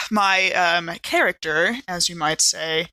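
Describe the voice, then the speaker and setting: A woman's voice: fairly low pitch, slow, pushed and bright, dry recording, low-quality recording. A woman playing a tabletop roleplaying game in casual character talk, hesitant and repeating words as she goes.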